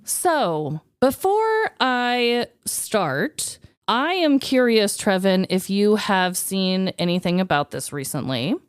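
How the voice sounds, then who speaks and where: A woman co-hosts a casual chat podcast with a very lively, varied melody to her voice. Her pitch sits in a middle range and her manner is gossipy and conversational. The sound is close and dry. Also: slow, smooth, dry recording. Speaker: podcast co-host.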